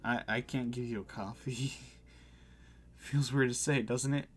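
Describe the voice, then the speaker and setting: A man's voice: mid-range voice, fairly even intonation, unhurried, slight background noise, natural voice. A man voicing an anxious, apologetic, newly dead cafe customer with a hesitant manner in a visual novel. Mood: hesitant, curious.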